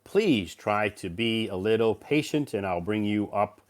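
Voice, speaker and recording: Male live stream host, low voice, rambling and filler-heavy, slightly worn from a recent cold. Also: expressive, unhurried. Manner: rambling, many fillers and restarts. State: recovering from a cold. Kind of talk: casual live stream chat.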